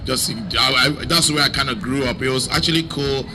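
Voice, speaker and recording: A young man from Nigeria, telling a comic personal story with animated, joking delivery. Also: medium pitch, brisk. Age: young adult. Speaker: youth speaker. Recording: background noise.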